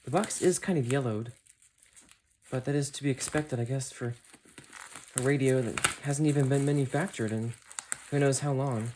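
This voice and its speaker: Young man, soft-edged mellow tone, medium pitch, casual unboxing talk, clear background noise with crinkling. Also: normal speed, long pauses. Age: young adult. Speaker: unboxing video maker. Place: small carpeted home room with computer desk. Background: noise, crinkling packaging, clicks.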